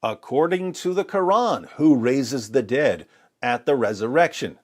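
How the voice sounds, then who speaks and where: A man's voice: medium pitch, expressive, a little slow, a little mellow. A man giving polemical religious commentary with scripture readings, in an emphatic, sarcastic and theatrical way.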